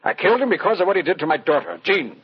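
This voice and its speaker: A man's voice: high voice, animated, racing, gravelly, husky, full character voice. An actor playing a blunt middle-aged show producer, a protective father and confessed killer, in an old-time radio mystery. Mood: bitter. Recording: dull vintage radio recording.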